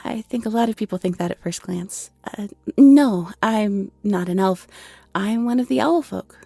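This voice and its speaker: Woman as a shy, breathy, flustered owl-folk shopkeeper. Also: mid-range voice, normal speed, dark tone, no room sound. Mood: nervous, friendly. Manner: hesitant, apologetic, nervously chatty. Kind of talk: scripted roleplay audio drama.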